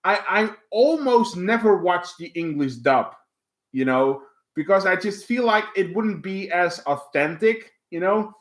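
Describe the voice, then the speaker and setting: A man's voice: sits high, lively intonation, a little slow. A man with a Dutch accent, a native Dutch speaker, hosting a livestream and chatting casually with viewers, rambling with lots of fillers and restarts. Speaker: livestream host. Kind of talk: casual livestream chat, reading viewer comments.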